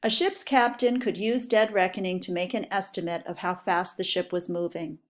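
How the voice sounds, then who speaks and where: A woman teacher reading educational text aloud for pupils, with clear, deliberate, instructional phrasing. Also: lowish voice, steady tone.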